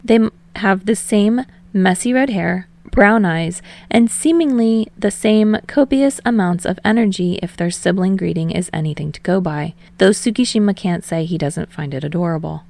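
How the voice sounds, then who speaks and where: A woman's voice: medium pitch, normal speed, vocal fry, few pauses, a little mellow, dry recording. A woman reading scripted fiction aloud as an audiobook narrator.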